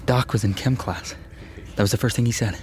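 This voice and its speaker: An actor playing an emotional young man, a student in a radio drama, with halting, pleading speech. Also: medium pitch, steady tone, close to an everyday voice. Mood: grieving. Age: young adult. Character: earnest, distraught young male student, younger brother.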